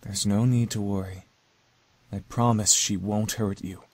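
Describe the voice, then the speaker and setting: A steady, protective young demon in a visual novel game. His voice is low and closely recorded, with a calm, reassuring manner. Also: measured pace, no echo.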